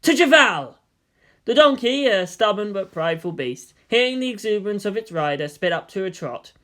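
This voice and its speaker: Expressive audiobook narrator, medium pitch. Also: brisk. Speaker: fan fiction audiobook narrator. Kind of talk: expressive scripted story narration.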